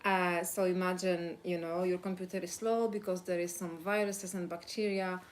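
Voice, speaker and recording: A woman's voice: on the low side, little pitch movement, normal speed. Enthusiastic woman therapist, faint hum behind. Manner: enthusiastic, says "you know" often. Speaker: therapist guest. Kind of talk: casual interview chat.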